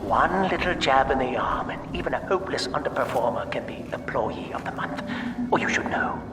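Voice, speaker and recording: A man's voice: mid-range voice, lively intonation, a little fast, reverberant, whispered, big-room sound, recorded from a distance, lightly acted. An actor playing an unhinged, mutated company boss in a video game, a pompous showman taunting an intruder with theatrical menace. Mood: sly menace. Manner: pompous showman, swooping between purring menace and shrieks.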